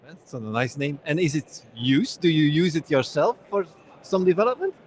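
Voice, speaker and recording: A man's voice: on the high side, very animated, moderate pace. A man with a slightly raised voice hosts a casual interview at a conference. He asks curious, friendly questions and drops in light jokes. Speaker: interviewer, host. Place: conference venue.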